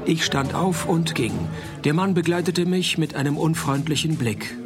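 A laconic, sardonic man's voice from an actor as a deadpan private eye in a radio play. It sits fairly high for a man, over clearly heard music. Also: normal speed, close to an everyday voice. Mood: deadpan narrating. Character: wry, deadpan male private detective narrating his case. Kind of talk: noir parody radio play, narration and dialogue.

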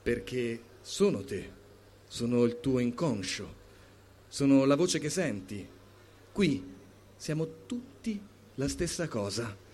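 Man performing a low, ominous, unearthly voice of the unconscious. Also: animated, darkish tone. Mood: calm, ominous. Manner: slow, solemn, measured. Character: calm, cold, ominous male voice of the unconscious. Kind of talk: theatrical dramatic reading. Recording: clear background noise.

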